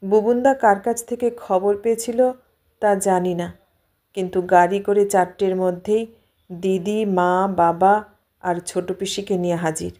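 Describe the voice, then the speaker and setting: A woman narrates a literary story in a medium-pitched voice. Her reading is dramatic and emotive, shaped like an audiobook performance. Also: steady tone, measured pace, mellow.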